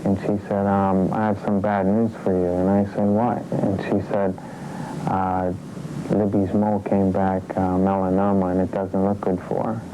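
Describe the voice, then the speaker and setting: A man's voice: low-pitched, monotone, moderate pace. A man informally recounting events in an interview as a patient's husband. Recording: dull sound with music behind.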